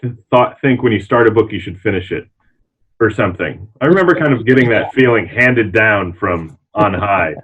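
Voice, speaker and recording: A man's voice: lowish voice, expressive, normal speed, husky. A man who works as a librarian, reading aloud and chatting informally on a panel. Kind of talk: reading reviews aloud and casual chat. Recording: dull, muffled lo-fi sound.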